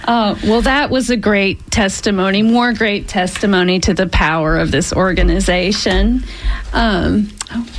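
A woman's voice: medium pitch, measured pace, slight background noise, poor audio. A woman hosting a radio call-in panel, who gives short, friendly prompts and thanks to callers and guests. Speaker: radio show host.

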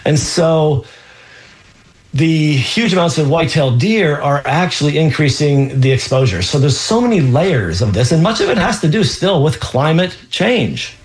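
A man's voice: on the high side, big pitch swings, moderate pace. A man hosting a call-in radio health show as a physician, explaining answers with frequent ums and restarts.

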